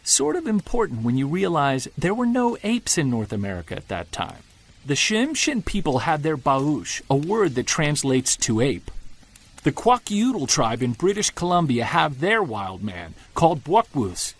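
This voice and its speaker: A man's voice: on the high side, very animated, measured pace, exaggerated voice. A man playing an earnest, indignant Bigfoot believer in an audio drama monologue, arguing emphatically with sardonic rhetorical flourishes. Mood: earnest, lecturing. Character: Jared, earnest, indignant male Bigfoot believer recording field notes. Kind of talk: audio drama monologue, podcast-style rant.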